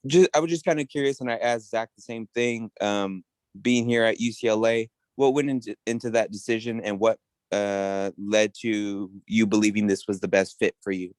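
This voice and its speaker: A man's voice: on the low side, fairly even intonation, normal speed, darkish tone, clean tone, no echo. A male reporter asking friendly, chatty questions at a press conference.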